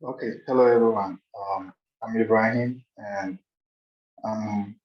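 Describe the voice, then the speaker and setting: A man speaks in a low, flat voice. He is hesitant and soft-spoken. His audio is dull and lo-fi, with a roomy echo, over a video call. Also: measured pace. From Ghana. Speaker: co-founder. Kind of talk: self-introduction on a video call.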